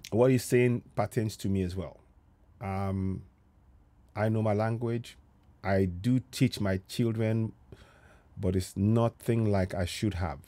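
A man's voice: lowish voice, a little mellow, no room sound. A middle-aged man hosting his own online show, earnestly reflecting on a video and repeating phrases for emphasis, speaking through a headset. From Yoruba Nigeria. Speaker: host. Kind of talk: reflective commentary on a played video. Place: home room with headset. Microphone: headset mic.

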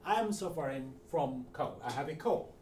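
A middle-aged man teaching a language lesson at a whiteboard. He goes slowly, repeats each phrase several times, and says the model phrases louder and higher. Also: expressive. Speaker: Arabic teacher. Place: room in front of a whiteboard. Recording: roomy, distant, faint hiss.

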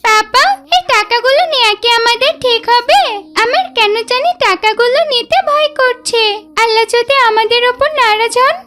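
A woman voicing an excitable, wide-eyed little girl in a children's cartoon. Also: extremely high pitch, moderate pace. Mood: earnest. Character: excitable, pious little village girl Maisha.

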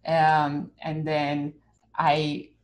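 A woman, speaking on a panel over a video call from home and pausing often with "um" and "uh". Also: low voice, monotone, a little hiss or hum. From Romania. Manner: hesitant, many "um" and "uh" fillers. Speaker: panelist, researcher. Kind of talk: academic panel talk. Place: home room. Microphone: laptop webcam on a video call.

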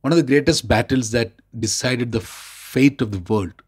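A man with an Indian accent talks as an expert in conversation. His voice sits at a medium pitch, and he stresses his points, often circling back to rephrase them. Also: moderate pace, breathy edge. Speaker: expert guest co-host. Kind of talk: thoughtful conversational explanation.

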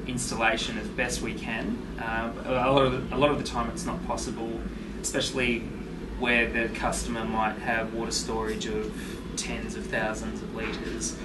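A man in his thirties explains a technical process as an engineer, steady and plain, often pausing on uhs and repeating words. He sits a little back from the mic in a roomy factory office. A loud air-conditioning hum runs under everything he says. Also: medium pitch, recorded from a distance. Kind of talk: workplace interview.

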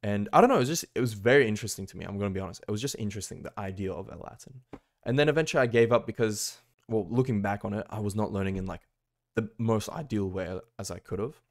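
A man's voice: lowish voice, quick pace. A young man from Australia, casually vlogging about learning languages from his bedroom, rambling with lots of fillers. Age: young adult. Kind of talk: casual talking-head vlog. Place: small bedroom, indoors.

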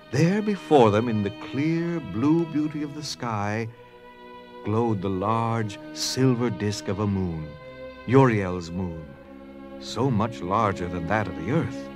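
A man narrating a dramatized story theatrically, with dramatic pauses and hushed emphasis. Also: lowish voice. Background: music.

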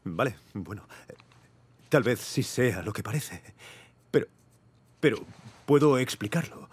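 A man's voice: mid-range voice, animated, unhurried, husky. An actor playing a guilty, stubborn adventurer in his thirties in a dubbed game, hesitant and pleading, with a Castilian Spanish accent. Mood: sheepish, explaining. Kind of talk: dubbed video game cinematic acting.

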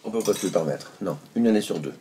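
A man's voice: sits low, animated, quick pace, dark tone, a little hiss or hum. A man at a breakfast table answering questions frankly about his family holiday. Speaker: holiday guest interviewed. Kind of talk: interview. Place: apartment breakfast table indoors.

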